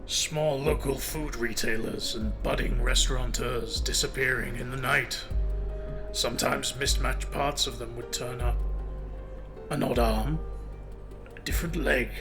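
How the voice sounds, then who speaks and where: An actor playing a mock-courteous detective who relishes grisly detail in a noir audio drama, deliberate in delivery. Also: male voice, medium pitch, fairly even intonation, natural voice. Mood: ghoulish. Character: grisly-minded, mock-polite male detective in a noir thriller. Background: music.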